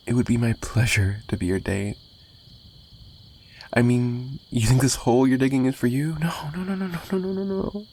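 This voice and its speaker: A man playing an obsessive, lovesick mafia boss in an intimate ASMR roleplay, menacing yet pleading, with halting, repetitive speech that drifts into breathy whispers. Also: mid-range voice, darkish tone, slight background noise. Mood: wistful, courting, then pleading, insistent.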